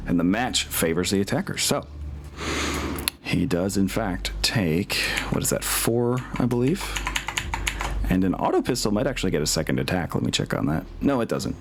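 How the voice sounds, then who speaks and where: A man's voice: on the low side, animated, normal speed, noisy background. A man casually running a tabletop game as game master, thinking aloud and encouraging his players. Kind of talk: casual game refereeing chat. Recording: music playing behind the voice. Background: music.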